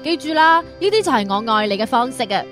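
A high-voiced young student girl, smitten and bossy, speaking in a radio play. She chats coquettishly and pushes her affection, with faint music around her. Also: very animated, fast talker, a bit bright. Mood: doting, bossy. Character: perky, pushy young female university student in love. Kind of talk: radio drama acting.

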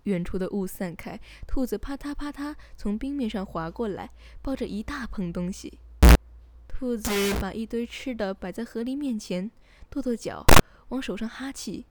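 A woman's voice: a little high, animated, moderate pace, very noisy. A woman radio host reading a scripted bedtime story in a soothing narration style.

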